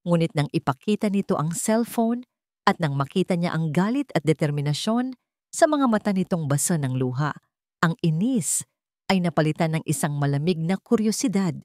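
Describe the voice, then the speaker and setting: A woman's voice: fairly low pitch, lively intonation, normal speed, a little mellow, no echo, clean studio sound. A woman storyteller reading scripted fiction in a dramatic narration style.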